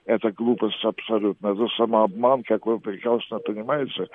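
A man's voice: medium pitch, no echo. A man calling in to a radio show, speaking at length in an ornate, digressive way with frequent hesitations. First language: Russian. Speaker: radio caller. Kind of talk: call-in radio monologue. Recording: tinny phone line.

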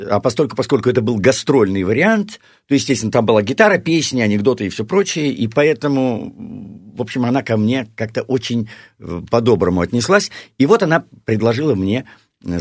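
A male actor and singer from Omsk, singing slowly to a guitar, then telling stories quickly on a talk show. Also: medium pitch, no room sound, studio quality. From Omsk, Russia. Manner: sings slowly and warmly, then tells anecdotes quickly with repeats.

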